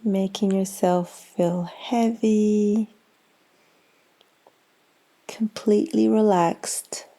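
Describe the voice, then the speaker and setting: A woman guiding a yoga nidra meditation in a calm, soothing, unhurried manner with long pauses. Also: mid-range voice. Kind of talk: guided meditation.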